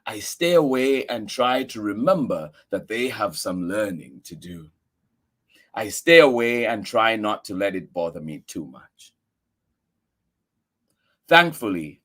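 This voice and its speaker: A man reading a picture book aloud for children, expressive and earnest, with emphatic delivery. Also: fairly low pitch.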